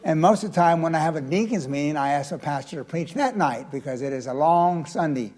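Older pastor with a raspy voice. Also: male voice, fairly high pitch, lively intonation, a little fast, a little mellow. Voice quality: raspy from vocal strain. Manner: folksy, chatty asides, rising to emphatic preaching. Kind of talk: evening church sermon.

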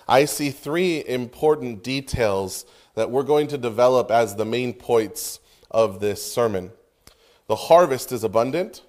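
An earnest male preacher delivering a sermon, voice pitched in an ordinary middle range. He reads scripture and prays with emphatic pauses, stressing key words. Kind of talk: sermon with prayer.

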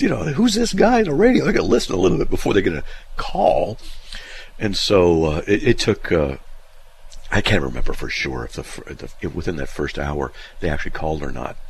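A man's voice: low voice, slight background noise. A middle-aged man hosting a call-in radio show, telling stories in a folksy, self-deprecating way. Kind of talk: casual call-in radio chat.

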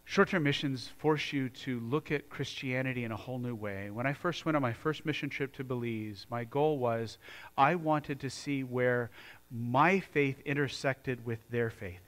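A man's voice: mid-range voice, moderate pace, fairly bright tone. A man from the United States preaching a sermon in a church, earnest and conversational, often restarting his sentences. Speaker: pastor.

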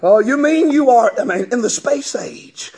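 A man preaching a fiery revival sermon in a church, a strong voice and a chanting, shouting cadence, as the congregation answers him. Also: extremely high pitch, expressive, moderate pace. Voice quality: strong, gravelly voice. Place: church sanctuary with congregation responding.

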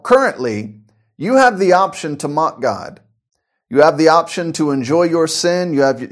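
A man preaching an informal sermon, earnest and conversational, often repeating words as he restarts his sentences. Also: on the high side. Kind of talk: conversational radio-style sermon.

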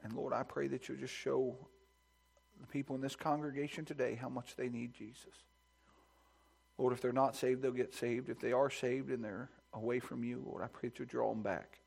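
A man's voice: steady tone, normal speed, creaky, breathy edge, low-quality recording. Baptist-style preaching man at a pulpit. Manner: builds to loud, high-pitched preaching fervor; soft, hushed praying voice. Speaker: pastor. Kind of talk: sermon with scripture reading and prayer. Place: church sanctuary.

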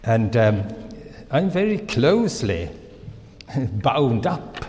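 A man born in Finland, first language Swedish, preaches in English in a church hall. He speaks very slowly, halting often with fillers, and his voice trails off into a long hall reverb. His pitch sits in a middle register. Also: very animated, a little mellow. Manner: slow and halting, many pauses and fillers. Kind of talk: sermon.

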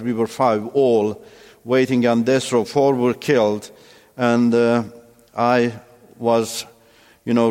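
A man from Iran whose first language is Turkish, giving a personal testimony in a halting way with many restarts. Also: mid-range voice, a little mellow, in a large hall. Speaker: testimony speaker.

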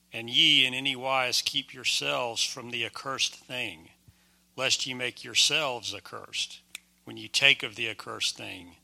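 A man reading an old-fashioned scripture passage aloud to a class, slow and even. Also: mid-range voice, gravelly.

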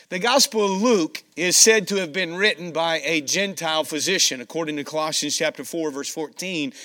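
A male preacher delivers a sermon in a forceful, bright voice with a scratchy edge. He pitches it a little high for a man and keeps a folksy manner. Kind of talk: sermon with scripture reading.

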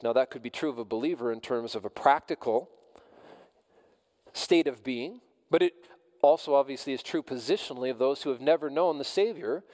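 A man delivers a sermon from the pulpit in a mid-range voice, measured and earnest. He circles back and repeats phrases to drive a point home. His words trail off into the long reverb of a church hall. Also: mid-range voice, darkish tone. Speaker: pastor.

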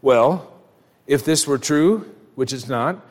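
A man's voice: mid-range voice, slow. Man preaching emphatically in a reverberant hall. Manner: emphatic, direct address with rhetorical questions; acts out imagined dialogue. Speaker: pastor preaching. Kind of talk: church sermon.

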